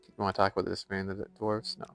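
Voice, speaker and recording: A man's voice: deep voice, little pitch movement, racing, gravelly, fairly bright tone, faint music behind. A young American man chatting casually on a live stream while playing a game and reading its lore aloud. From United States.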